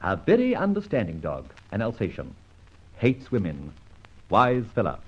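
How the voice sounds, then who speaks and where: An actor playing a witty, roguish gentleman vigilante in an old-time radio detective drama, with a theatrical, urbane, teasing manner. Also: fairly low pitch, huge pitch swings, normal speed, breathy edge, heavily acted. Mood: breezy. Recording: muffled, noisy old radio recording.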